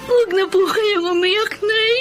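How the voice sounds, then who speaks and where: A woman's voice: very high voice, steady tone, measured pace, a bit bright, faint music behind. A woman playing an earnest, respectful young housemaid and daughter in a Filipino radio drama, with a Filipino accent and an emotional delivery. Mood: tearful remorse.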